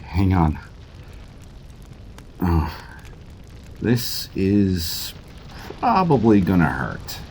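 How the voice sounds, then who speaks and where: An actor in an audio drama playing a gruff, sardonic tough guy who comes to the rescue, wry and urgent. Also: deep voice, wildly swooping pitch, extremely slow, long pauses, a little mellow, a little hiss or hum, full character voice.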